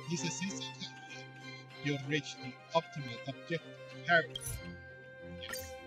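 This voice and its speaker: A man hosting a gaming video, commenting casually and reading game text aloud a bit haltingly. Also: mid-range voice. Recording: game music clearly behind the voice. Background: video game music.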